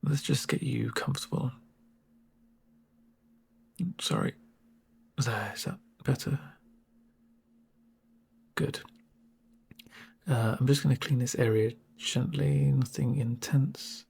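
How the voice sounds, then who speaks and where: A man playing a calm medic in a soft-spoken ASMR roleplay, gentle and reassuring with dry humour and a breathy, near-whispered voice. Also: medium pitch, exaggerated voice. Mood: soothing. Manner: gentle, unhurried, reassuring murmur.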